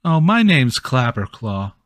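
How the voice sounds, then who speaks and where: A gentle living scarecrow, played by a man for a tabletop game, talks in a naive, forlorn way. The words come haltingly over a close, dry recording, simple and sad. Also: mid-range voice, very animated, dry recording. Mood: meek, simple.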